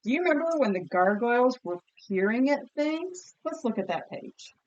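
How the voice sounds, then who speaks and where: A woman's voice: sits high, expressive, moderate pace, highs cut off. A woman teacher leading a read-aloud vocabulary lesson with children, encouraging and questioning, enunciating words for pupils to repeat.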